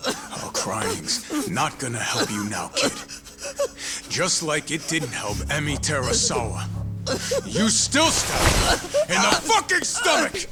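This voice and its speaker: A man's voice: on the high side, wildly swooping pitch, slow, heavily acted. A man playing a sadistic, explosive interrogator in a video game drama, sneering and taunting. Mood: furious. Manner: sneering, taunting, foul-mouthed. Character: menacing male bully, sadistic and explosive interrogator. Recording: loud music behind the voice.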